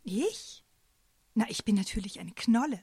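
A woman as a high, husky talking tuber. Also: lively intonation, unhurried, darkish tone, no echo, studio quality, heavily acted. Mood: knowing, lilting. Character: amused, knowing talking plant tuber. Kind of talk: children's radio play acting.

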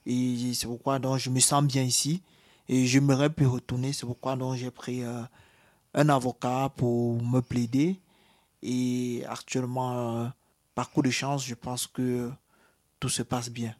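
A man's voice: medium pitch, flat delivery, a little slow, a little mellow. A man from Cameroon with a Cameroonian French accent, giving a personal testimony in an interview, hesitant with many fillers and restarts. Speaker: interviewee, asylum seeker.

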